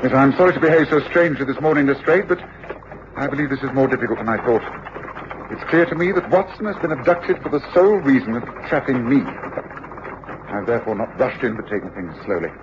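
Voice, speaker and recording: An actor playing a brilliant, commanding English detective in a radio drama, speaking precisely and deliberately with an English accent. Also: male voice, medium pitch, close to an everyday voice. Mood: brisk, purposeful. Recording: muffled, noisy old radio drama recording.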